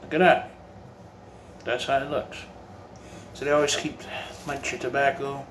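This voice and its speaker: A man's voice: medium pitch, little pitch movement, unhurried, fairly bright tone. Rambling man in an echoey room. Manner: rambling, repeats and restarts phrases. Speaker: cigar vlogger. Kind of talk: casual home review vlog. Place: home room with bare walls. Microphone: phone held while filming. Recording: echoey room, faint room noise.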